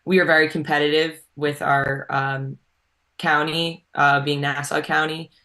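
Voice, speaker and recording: A woman's voice: low-pitched, level tone, measured pace, pushed and bright. A young woman from Long Island with a subtle Long Island accent, chatting as a college swimmer guest, with plenty of ums and likes. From Long Island, New York. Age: young adult. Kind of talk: casual interview answers.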